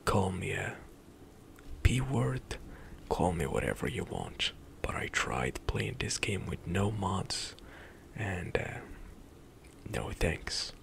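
A young man whispering softly in a slow ASMR ramble over gameplay, close to the mic, at home. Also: sits low, sing-song, creaky. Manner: soft whispered rambling with light laughs. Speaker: ASMR gaming streamer. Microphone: close studio mic, headset on.

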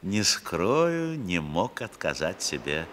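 Smug, swooping elderly mafia boss. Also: male voice, low voice, sing-song, fairly bright tone, full character voice. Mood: mock-polite. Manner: unctuous, mocking courtesy, drawn-out phrases with chuckles. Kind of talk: 1960s Soviet radio drama acting. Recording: old radio recording with background noise.

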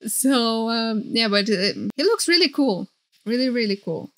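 A woman doing excited live reaction commentary as a streamer, gushing and flustered, often repeating herself. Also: a little high, measured pace, no room sound. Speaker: VTuber streamer reacting to game trailers. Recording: game trailer music behind voice.

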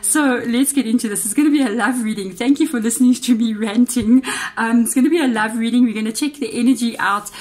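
A woman's voice: on the high side, fast, darkish tone. A South African woman reads tarot at a table, chatting to her viewers and thinking aloud as she turns each card. Her voice sounds slightly off today. The tone is casual and direct. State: voice a bit off, slightly unwell-sounding. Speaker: tarot reader. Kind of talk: casual tarot reading to camera. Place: indoors at a tabletop altar.

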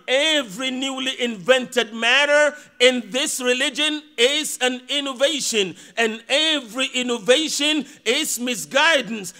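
A man's voice: extremely high pitch, bright, forceful tone. A man in his thirties giving a Friday sermon from a mosque pulpit into podium microphones, preaching emphatically with rhetorical questions.

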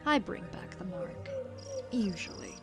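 A woman performs a ruthless bounty hunter in a dramatic comic dub. Her delivery is cold, clipped and deliberate, aloof and threatening. Music plays clearly behind her. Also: high voice, huge pitch swings, heavily acted. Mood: cold, menacing.

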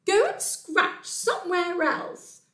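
A woman voicing an indignant, sleepy tawny owl in a storybook, shrieking and scolding in drawn-out words. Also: very high-pitched, sing-song, sharp tone, roomy sound, pitched above the performer's own voice, exaggerated voice.

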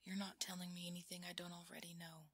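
A woman performs a shaken, affectionate girlfriend in an intimate ASMR audio roleplay. Her voice is very breathy and mostly whispered, with a creaky edge. The delivery is halting and close, recorded very dry. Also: fairly low pitch, little pitch movement, fairly bright tone, no echo. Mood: soothed, apologetic.

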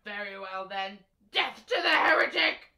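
A woman as a solemn, slow-speaking old priest. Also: medium pitch, expressive, bright, forceful tone, a little hiss or hum. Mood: threatening. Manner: solemn, declamatory. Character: stern, pompous old priest guarding sacred doctrine. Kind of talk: radio drama acting.

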